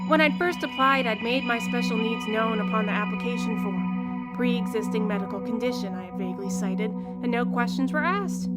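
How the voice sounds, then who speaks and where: An actress playing a jaded, sardonic fast-food worker in her early twenties, dry and wry, in an audio drama. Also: a little high, natural voice. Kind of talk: first-person audio drama narration and dialogue.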